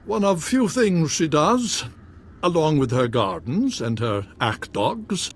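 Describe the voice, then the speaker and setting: A man's voice: fairly high pitch, very animated, slow pace, slight background noise, exaggerated voice. An actor playing a courtly, genteel nobleman in a video game, with formal, flowery and courteous speech. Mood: intrigued, amused. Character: genteel, courtly male nobleman, a baron welcoming guests. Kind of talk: video game character acting.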